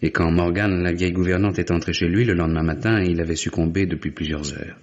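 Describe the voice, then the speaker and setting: A man's voice: low-pitched, steady tone, fast, darkish tone, dull audio, natural voice. An actor playing an earnest, troubled young married heir in his late twenties, in an old-style French radio drama. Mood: sombre, recounting.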